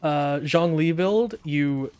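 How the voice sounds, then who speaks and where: Man with a slightly high, laid-back voice. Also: slow, a little mellow, smooth. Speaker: gaming streamer. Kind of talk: casual gameplay commentary.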